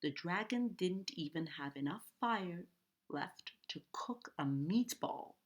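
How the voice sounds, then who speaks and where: A woman's voice: fairly low pitch, animated, slow pace. A woman reading a children's story aloud expressively, stretching key words for dramatic emphasis. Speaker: storybook reader.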